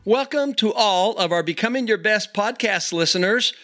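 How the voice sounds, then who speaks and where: A man's voice: high voice, lively intonation, normal speed, bright, forceful tone, faint music behind. A man hosting a podcast interview, enthusiastic as he introduces his guest. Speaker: podcast host.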